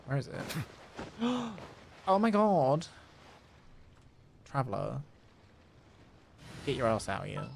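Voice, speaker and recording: A man livestreaming a game, excitable, casually commenting and reading dialogue aloud, with exclamations. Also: medium pitch, vocal fry, a little hiss or hum. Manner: excitable, reacts with gasps and drawn-out exclamations. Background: game music.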